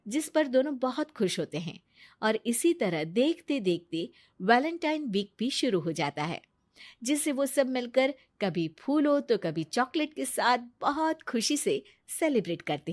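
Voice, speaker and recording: A woman's voice: a little high, no echo, clean studio sound. A woman narrating a family story in a scripted storybook style.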